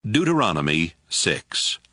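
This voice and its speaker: A man's voice: low voice, sing-song, measured pace, sharp tone. A man reading scripted narration as the narrator of a dramatized audio Bible.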